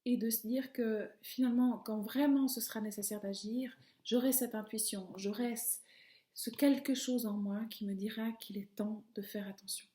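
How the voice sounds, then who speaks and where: Soft, reflective middle-aged woman. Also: fairly high pitch, normal speed. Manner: calm, reflective, trailing off softly at phrase ends. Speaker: video host. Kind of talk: personal reflective monologue. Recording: echoey room.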